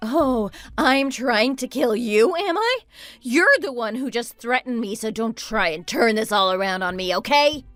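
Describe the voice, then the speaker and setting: A woman playing an indignant, sarcastic wife in a dramatized first-person story, with an expressive, exasperated delivery. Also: fairly high pitch, unhurried, dry recording. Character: indignant, sarcastic wife at the end of her patience.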